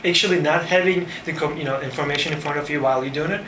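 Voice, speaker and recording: A man in his thirties from Turkey, Turkish native, speaking English with a Turkish accent in a casual interview, pausing often with fillers. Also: fairly high pitch. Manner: thoughtful, halting with many fillers. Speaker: design firm founder. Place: cafe-like room with framed wall, indoors. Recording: echoey room with background noise.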